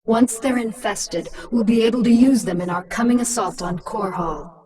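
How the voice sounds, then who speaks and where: A woman's voice: a little high, moderate pace, lightly acted. Woman playing a cool, commanding alien hive queen. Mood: cold. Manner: imperious, composed. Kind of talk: dramatic video game acting. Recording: distant voice, background crowd-like din.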